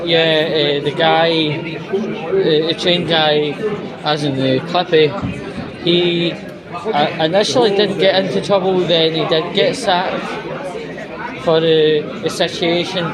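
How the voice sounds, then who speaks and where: A Scottish man giving an informal vlog monologue while out walking, talking in a halting, hesitant, conversational way. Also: fairly high pitch, steady tone, over a noisy crowd. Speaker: vlogger. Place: out and about on a walk.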